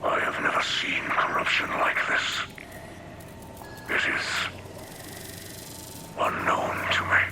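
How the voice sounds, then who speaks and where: An actor playing a solemn, wise alien leader in a video game, speaking formally and deliberately in a breathy half-whisper. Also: male voice, close to an everyday voice. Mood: solemn, grave. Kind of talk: dramatic video game dialogue.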